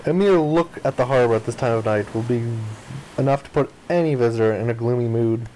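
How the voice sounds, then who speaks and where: A man's voice: medium pitch, fairly quick, a little hiss or hum, low-quality recording. A man reading a visual novel's narration and dialogue aloud as a playthrough host, expressively acting out the lines. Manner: expressive, acts out the protagonist's lines.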